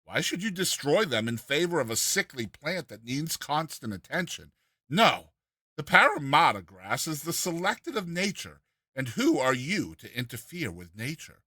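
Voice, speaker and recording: Male narrator for humorous short fiction: crisp forward brightness, mock-earnest theatrical reading, very dry studio-like sound. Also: on the high side, very animated, moderate pace, dry recording. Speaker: reader and narrator of a short story. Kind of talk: dramatized storytelling narration of a humorous classic essay.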